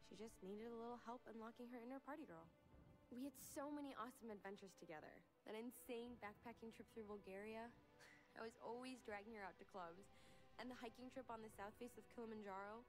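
A woman's voice: a little high, brisk, lightly acted, poor audio. A young woman playing a bubbly, adventurous American filmmaker, reading a game journal in a playful, confiding way. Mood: playful, fond. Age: young adult. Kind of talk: video game journal voice-over. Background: music.

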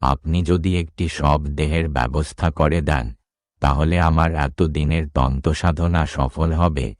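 Menacing, extremely deep-voiced tantric. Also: male voice, steady tone, unhurried, no echo, clean studio sound. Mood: solemn, demanding. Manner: imposing, threatening. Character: menacing male tantric occultist seeking a corpse. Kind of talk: dramatic horror cartoon voice acting.

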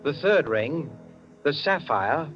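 An actor narrating a suspenseful 1940s radio mystery in a measured, dramatic manner. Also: male voice, on the high side, lively intonation. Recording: muffled 1940s radio broadcast, music behind.

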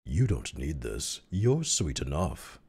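A man voicing a suave, seductive scholar and train buff, smooth and flirtatious. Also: extremely low pitch, big pitch swings, takes it slowly, darkish tone, heavily acted.

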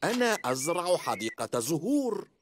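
A jolly old grandpa pig. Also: male voice, on the high side, big pitch swings, normal speed, slight background noise. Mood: cheerful. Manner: cheerful, flustered yelps. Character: jolly elderly grandfather pig, fussy gardener. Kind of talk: dubbed cartoon acting. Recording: music bed under voice.

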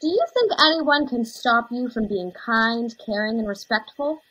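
A young woman playing an earnest, caring elementary school teacher in a scripted dramatization, slightly breathy. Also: fairly high pitch, expressive, normal speed, bright, forceful tone, muffled recording, exaggerated voice. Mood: earnest, tender. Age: young adult. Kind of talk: scripted dramatization on video call. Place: indoors, plain backdrop, video call.

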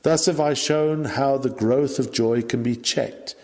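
Male sermon reader, mid-pitched, measured and emphatic oratory, hall-like reverb. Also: darkish tone. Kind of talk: sermon reading, scripted oratory.

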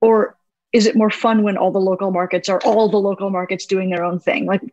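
A woman's voice: mid-range voice, level tone, a little fast, darkish tone, no room sound, dull audio. A woman hosting a podcast, asking questions in a chatty, self-deprecating way full of "like.". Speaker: podcast host. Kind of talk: casual interview chat.

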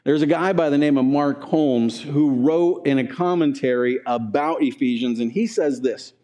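A man's voice: mid-range voice, moderate pace, warm tone. A man in his forties, a pastor preaching a conversational teaching sermon in a church sanctuary, gesturing as he talks and asking the room questions.

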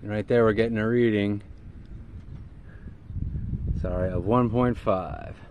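A middle-aged man giving a casual, hands-on how-to in a halting way, recorded on a handheld camera outdoors by a vehicle. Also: on the low side. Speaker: CB radio hobbyist presenter. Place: outdoors in and beside a parked vehicle in an open field. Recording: clear background noise, outdoor ambience.

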